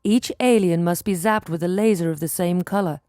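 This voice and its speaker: A woman reading scripted tutorial narration for a game, giving instructions in a clearly enunciated way. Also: lowish voice, a little fast, dark tone, dry recording, clean studio sound.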